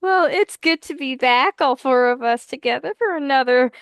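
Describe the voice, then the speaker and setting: A woman hosting a casual podcast chat, a little nasal from a head cold, chatty and quick to correct herself. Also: sits high, clean tone, no room sound, studio quality. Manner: chatty, giggly, self-correcting. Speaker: podcast host.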